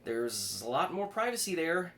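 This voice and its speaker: Man acting nervous stuttering young guy, pitched up. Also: very animated, normal speed, a bit bright. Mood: nervous, eager. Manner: stuttering, hesitant. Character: nervous young male cinema worker, awkward and eager. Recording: clear background noise.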